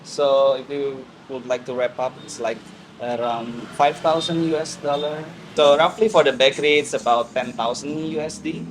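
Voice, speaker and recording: A man in his thirties from Borneo talks about running his café. His English is halting, with many pauses and filler sounds. His pitch sits in the middle range for a man. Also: slight background noise, rough recording. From Borneo, Indonesia. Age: 30s. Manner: plain, halting non-native English with many "uh"s. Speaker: coffee shop and bakery owner. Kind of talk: casual interview answers.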